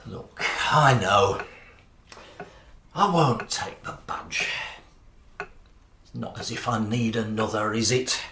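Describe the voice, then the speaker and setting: In an echoey room with faint hiss, a man plays a well-meaning Yorkshire husband in a radio drama. He is earnest and pleading, slow in pace but lively in intonation. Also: lowish voice, heavily acted. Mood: concerned, conciliatory. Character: proud, wounded, well-meaning rugby player husband. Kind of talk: radio play acting.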